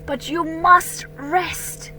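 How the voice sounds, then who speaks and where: A woman puts on an earnest storybook apothecary, a colonial gentleman with a bright, forceful voice. He is formal and concerned, admonishing slowly and deliberately. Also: very high-pitched, fairly even intonation, faint music behind, voice pushed up, heavily acted. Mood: concerned, stern.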